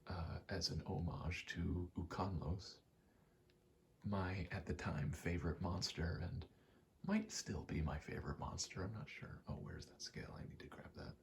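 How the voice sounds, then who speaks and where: A man rambling casually in a soft, half-whispered ASMR style, telling stories in slangy, meandering, sweary speech. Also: low voice, steady tone, moderate pace, gravelly, slight background noise. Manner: soft-spoken, often dropping into whisper. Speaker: ASMR video host.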